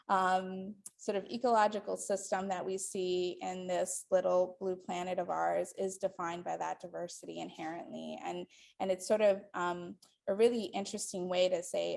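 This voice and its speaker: A woman's voice: medium pitch, gravelly, a little mellow. A woman in her forties, from the Dominican Republic, giving a lecture to a live audience in a hall, thinking aloud with many ums and restarts. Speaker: guest lecturer.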